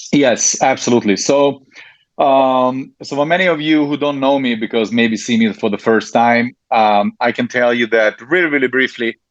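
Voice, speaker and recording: A man's voice: mid-range voice, moderate pace, dry recording, muffled recording. A man with a Hungarian accent, answering interview questions as a network marketing leader, hesitant with frequent ums and restarts.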